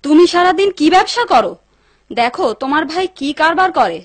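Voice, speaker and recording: Nosy greedy cartoon wife, high voice, dry close sound, needling. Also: female voice, big pitch swings, brisk, no echo. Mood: nagging. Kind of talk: dubbed cartoon acting.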